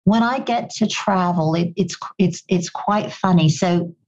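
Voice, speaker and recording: A woman's voice: fairly low pitch, unhurried, a little mellow, highs cut off. A woman from Goa raised in Kenya, with a slight English-tinged Kenyan accent, speaking earnestly as a podcast guest and often restarting her words. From Goa, India; raised in Kenya. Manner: earnest, reflective, repeats words as she restarts. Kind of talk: conversational interview answers.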